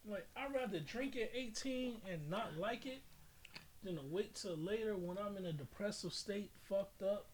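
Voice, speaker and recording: A man's voice: sits high, normal speed, slight background noise, low-quality recording. A man hosting a podcast in casual chat, talking in an opinionated, profane and rambling way. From military family, lived in Japan growing up. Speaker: podcast host.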